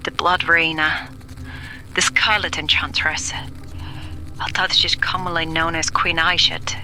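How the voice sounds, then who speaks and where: A woman's voice: low voice, flat delivery, a little slow, sharp tone, exaggerated voice. An actress playing a frightened 1940s woman doctor who records a grave, urgent warning in an audio drama, often dropping into whispers. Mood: ominous, hushed. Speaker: expedition demonologist. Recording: noisy old reel-to-reel tape recording.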